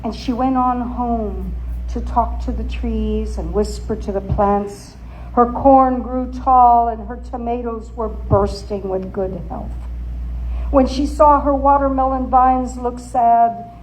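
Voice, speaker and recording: A woman reading a story aloud as a dramatic narrator, deliberate and expressive. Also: fairly high pitch, poor audio. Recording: faint background noise.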